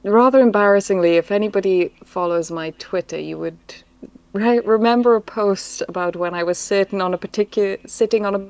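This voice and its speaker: A woman's voice: medium pitch, brisk, a little mellow, clear, resonant tone, no room sound, rough recording. A Welsh woman who writes audio drama scripts, chatting casually on a live stream in a thoughtful, giggly way. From Wales.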